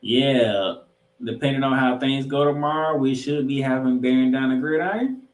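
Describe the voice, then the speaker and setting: A man's voice: medium pitch, moderate pace. A man co-hosting a sports podcast, previewing games emphatically, posing questions and repeating phrases for effect. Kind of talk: sports talk, game preview banter. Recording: roomy, echoing sound.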